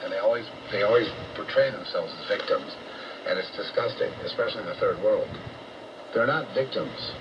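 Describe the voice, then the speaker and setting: An American man preaching on shortwave radio in a blunt, confrontational, profane rant, halting and repeating himself. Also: lowish voice. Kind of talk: unscripted ranting sermon. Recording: noisy muffled shortwave radio broadcast.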